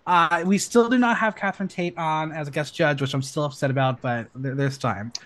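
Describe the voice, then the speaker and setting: A man hosting a casual podcast, chatty and excitable, rattling off news with lots of ums. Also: fairly high pitch, fairly quick. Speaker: podcast host.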